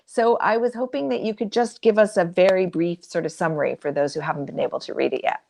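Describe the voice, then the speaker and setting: A woman's voice: fairly low pitch, steady tone, quick pace, vocal fry, a little mellow. A woman hosting a conversation as interviewer on a video call, chatting in a casual way.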